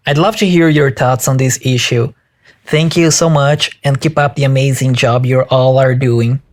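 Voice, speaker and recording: A man's voice: mid-range voice, fairly even intonation. Man reading a message. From Brazil. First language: Portuguese. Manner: measured, careful and explanatory. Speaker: caller. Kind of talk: reading a prepared listener message.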